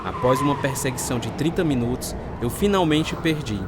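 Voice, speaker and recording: A man's voice: medium pitch, expressive, brisk, heavy background noise, close to an everyday voice. A man acting as a volatile, embittered heavy drinker who tells his own story in a dramatised radio narration. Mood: grave, bitter. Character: bitter, volatile hard-drinking husband telling his story. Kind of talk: dramatised first-person scripted narration. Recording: loud guitar music behind the voice.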